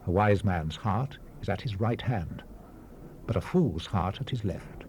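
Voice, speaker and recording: Casting: grave biblical preacher-sage for dramatized scripture; lowish, dark tone, solemn measured delivery, weary wisdom, faint 1970s tape hiss. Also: male voice, expressive. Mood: solemn, reflective. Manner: measured, oratorical, emphatic rises and hushed falls. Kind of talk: solemn dramatized Bible reading. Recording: hissy 1970s cassette rip, background ambience.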